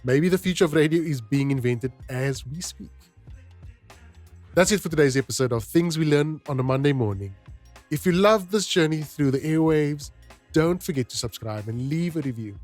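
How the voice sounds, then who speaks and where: A man's voice: on the high side, expressive, normal speed, a little mellow. A man hosting a podcast, speaking directly to his listeners. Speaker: podcast host. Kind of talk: podcast narration and informal address to listeners. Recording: music bed behind the voice. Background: music.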